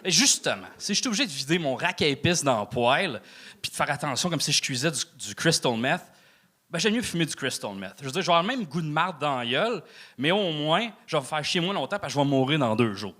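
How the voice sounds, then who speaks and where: A man with a Québécois accent performing a stand-up routine, delivering crude punchlines flatly between filler pauses. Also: fairly high pitch. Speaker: stand-up comedian. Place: comedy club stage.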